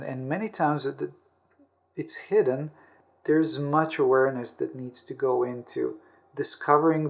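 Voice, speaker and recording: A man with a medium-pitched voice and a noticeable creak explains personal-growth ideas in an interview. He sounds earnest and often repeats or rewords his phrases as he builds a point. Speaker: podcast host and author being interviewed. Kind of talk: explanatory interview talk on self-help.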